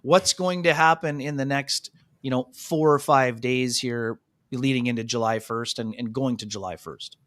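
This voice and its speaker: A man's voice: mid-range voice, moderate pace, a bit bright, no room sound. A man co-hosting a hockey radio show, talking casually with many "you know" fillers and calling his co-hosts "fellas". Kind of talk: casual sports talk radio chat. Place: radio studio.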